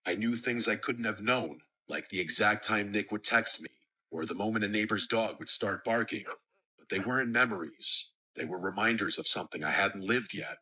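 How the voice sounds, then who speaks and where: Very bright, forceful low American male narrator. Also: husky, poor audio. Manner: measured, serious storytelling. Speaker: horror story narrator. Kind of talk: scripted narration of scary first-person stories.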